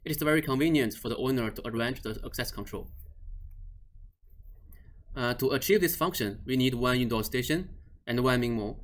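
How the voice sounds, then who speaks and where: A man's voice: mid-range voice, normal speed, long pauses, a little hiss or hum. A man explaining a device setup step by step in a screen-recorded tutorial, with frequent restarts and slightly non-native phrasing. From Australia or New Zealand region office. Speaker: product trainer.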